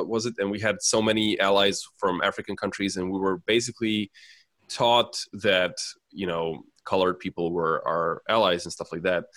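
A man from Serbia in casual conversation, talking in halting phrases with many fillers and restarts. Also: deep voice, fairly bright tone, no echo.

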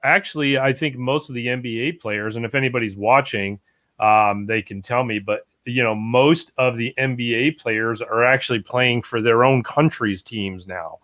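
A man co-hosting a casual chat show, relaxed and dry-humored, often ending lines with "man" or "bro.". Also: mid-range voice, normal speed. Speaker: co-host.

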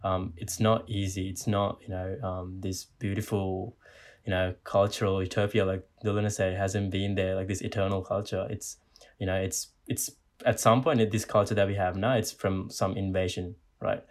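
Deep, flat-voiced man musing aloud. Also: moderate pace. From Sri Lanka. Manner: rambling, tagging sentences with "right?". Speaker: podcast host. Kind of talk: casual discussion.

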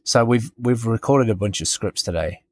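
A man's voice: on the low side, normal speed, dry recording, clean studio sound. A man co-hosting a podcast in casual, rambling chat, often interrupting himself as he tells a story. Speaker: podcast co-host.

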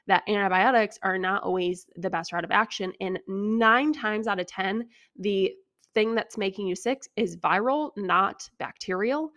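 Young woman, American accent, gravelly fry, medium pitch, casual podcast host, explanatory, opinionated. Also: a bit bright, dry recording, clean studio sound. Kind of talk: casual informative solo podcast talk, answering listener questions.

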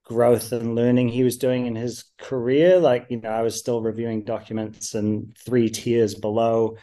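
A man whose voice has a mellow, unforced tone and an American accent with a slight New Zealand tinge. He talks as a podcast guest: upbeat, chatty, and full of "um" and "uh" as he thinks aloud. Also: medium pitch, flat delivery, moderate pace. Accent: American with some New Zealand tinge. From United States. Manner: chatty, many "um" and "uh" fillers, upbeat. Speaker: startup founder guest. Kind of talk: casual podcast interview.

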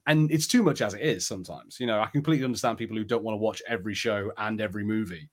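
A man's voice: fairly low pitch, racing. Casual man chatting on a podcast. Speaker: podcast co-host. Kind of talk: casual chat.

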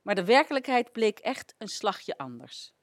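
A woman's voice: medium pitch, very animated, moderate pace, no room sound, studio quality. A woman presenting on a radio show, reading scripted narration.

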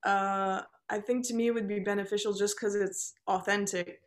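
A woman's voice: mid-range voice, flat delivery. Chatty young woman, Turkish native. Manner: chatty, laughs often, quick asides. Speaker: musician guest. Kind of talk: casual chat.

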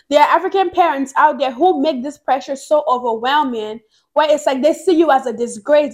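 Woman, high pitch, from Nigeria, young adult, casual chatty podcast talk, emphatic. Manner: chatty, many "like" fillers, emphatic. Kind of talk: casual discussion.

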